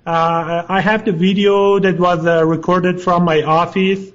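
A man calling in to a radio show over Skype, speaking haltingly with many fillers; the call sounds hollow. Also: high voice, level tone, muffled recording. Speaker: caller.

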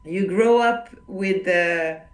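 A woman from Morocco talking reflectively in a casual conversation, often pausing and restarting as she searches for words. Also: fairly low pitch, reverberant.

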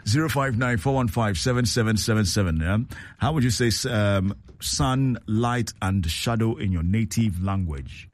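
Man, radio host, very low pitch, dry studio sound, relaxed. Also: moderate pace, no room sound. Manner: relaxed, repeats callers' words back. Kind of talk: call-in radio chat.

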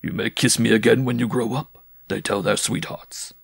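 A man voicing a huge, vain circus giant who talks in a slow, drowsy, self-satisfied way, like an audiobook character. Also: medium pitch, steady tone, gravelly, husky, no echo, clean studio sound. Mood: drowsy boasting.

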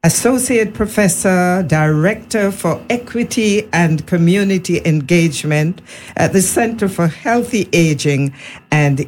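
A woman with a Caribbean accent hosting a live radio panel in a measured, deliberate, formal way. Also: low-pitched, darkish tone. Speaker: radio host. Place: radio studio. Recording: faint background noise.

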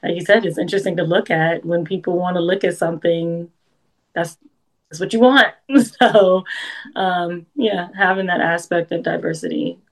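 A woman, a guest songwriter chatting casually in an interview, laughing often and talking in quick bursts with restarts. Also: fairly low pitch.

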